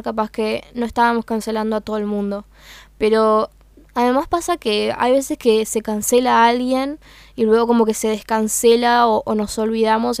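A woman's voice: a little high, creaky, a little mellow, clean tone, no room sound. A young woman with a Uruguayan accent, talking through her thoughts in a casual, hesitant monologue full of fillers. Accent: Uruguayan Spanish. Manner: hesitant, searching for words with many fillers. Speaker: meme page creator.

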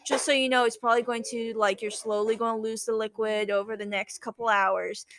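A woman's voice: on the high side, little pitch movement, brisk, a bit bright. A young adult woman telling a personal story as a guest, in a chatty, casual way with lots of "like" and quoted dialogue.

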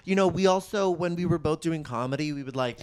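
A young man with a smooth, clear voice and a slightly higher pitch, chatting as a podcast guest. He tells animated stories in bursts, doubling back with fillers and restarts. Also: fast talker. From Arizona. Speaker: comedian guest. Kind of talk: casual podcast chat.

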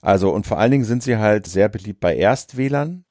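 A man's voice: on the low side, fairly quick, dry recording, studio quality. A man co-hosting a political podcast as a journalist, talking casually with many fillers. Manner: relaxed, conversational, frequent fillers.

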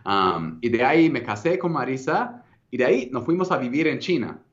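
A man in his thirties with an American English accent, speaking Spanish as a second language as a guest in a casual interview, relaxed and hesitant, with frequent ums and restarts. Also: medium pitch, moderate pace, muffled recording. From United States. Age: 30s. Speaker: guest entrepreneur.

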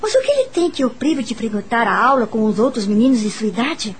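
An actress playing a kind, curious young female teacher in a Brazilian radio drama, expressive and musing, with a Brazilian accent. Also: a little high, quick pace, a little hiss or hum. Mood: friendly, calm. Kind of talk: radio drama acting and first-person narration. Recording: music bed behind the voice. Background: music.

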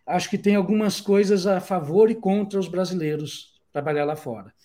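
A Brazilian man with a Brazilian Portuguese accent, a product designer guest telling stories in a relaxed interview, with many fillers and restarts. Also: high-pitched, normal speed. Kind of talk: casual conversational interview.

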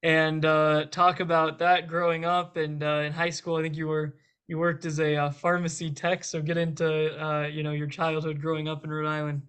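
A man's voice: a little high, completely flat delivery, normal speed, few pauses, fairly bright tone, smooth. A man hosting a podcast, reading an introduction and prompting his guest loosely with fillers. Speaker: podcast host. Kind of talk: interview host.

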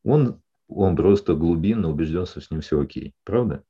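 A man with a deep, warm and husky voice counsels a client in a steady, explanatory way. He keeps pausing to check that she follows. The sound is a little dull and lo-fi. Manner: calm, explanatory, often checks in with "да?". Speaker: psychologist. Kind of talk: counseling session.

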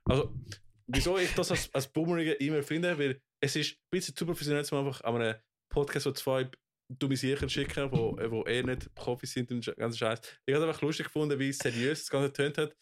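A man's voice: medium pitch, steady tone, a little fast. Young swiss guy on a podcast, lots of umms and restarts, chatty. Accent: Swiss German. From Switzerland. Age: 20s. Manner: hesitant, lots of fillers and restarts. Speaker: podcast co-host. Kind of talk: casual chat.

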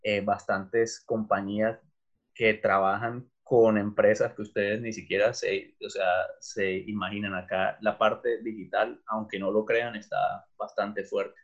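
A fairly low-pitched middle-aged man from Venezuela, speaking as an interviewed teacher in an easygoing, thinking-aloud way. He often pauses on fillers and restarts his sentences. The recording sounds dull and lo-fi. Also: little pitch movement. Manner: thoughtful, many fillers and restarts. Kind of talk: casual interview.

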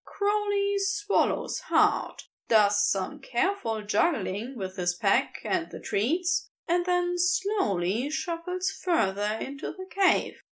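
A woman reading a fantasy story aloud, with unhurried and expressive storytelling. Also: high voice. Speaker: podfic reader. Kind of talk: scripted story narration.

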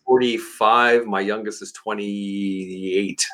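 A man's voice: on the low side, steady tone, slow pace, a bit bright. An older man hosting a casual conversational interview, chatty and often repeating words as he goes. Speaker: interviewer, show host.

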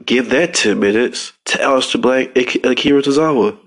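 A man's voice: medium pitch, brisk. A man with a stuffy nose hosting a casual wrestling podcast, rambling with frequent restarts and fillers. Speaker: podcast host.

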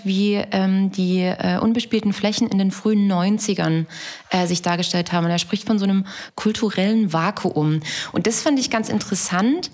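A woman's voice: mid-range voice, a little mellow. A woman hosting a podcast, asking a guest thoughtful questions with many fillers. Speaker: podcast host. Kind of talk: interviewing a guest.

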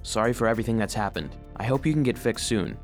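A fairly low voice over soft music, an earnest little household robot. He narrates his own children's story with expressive, heartfelt feeling. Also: fast talker. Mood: heartfelt, relieved. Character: earnest, sensitive vacuum-cleaner robot telling his story. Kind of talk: scripted first-person storybook narration for children.

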